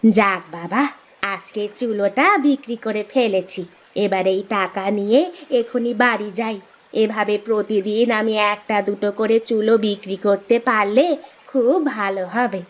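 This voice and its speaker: A woman voicing village wives in a Bengali fairy-tale cartoon, with an expressive, plaintive delivery. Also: mid-range voice, moderate pace, lightly acted. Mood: hopeful, musing. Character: village wives, grumbling housewife and poor humble stove seller. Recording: music under the voice.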